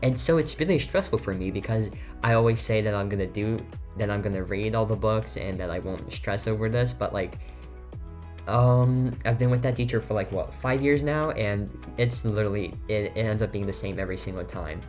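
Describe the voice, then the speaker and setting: A man's voice: fairly low pitch, a little fast, darkish tone. A teenage boy whose first language is Lithuanian, chatting casually in a voiceover over game footage, rambling with lots of fillers and restarts. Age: teens. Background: faint background music.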